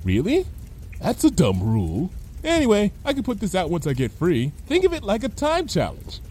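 Man acting a female unicorn pony, storybook character, high voice, breezy, reckless, unworried, lively intonation. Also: normal speed, heavily acted.

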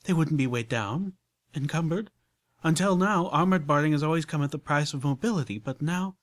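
A man's voice: mid-range voice, lively intonation, fairly quick, no echo, voice pushed up, close to an everyday voice. A man voicing an elegant, prim unicorn mare who talks with genteel enthusiasm. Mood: enthusiastic.

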